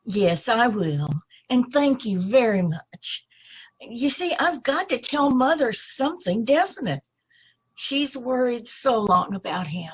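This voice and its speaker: A woman's voice: a little high, very animated, slow pace, slightly rough, full character voice, poor audio. A woman playing an earnest, anxious, polite young woman in an amateur old-time radio play. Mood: grateful.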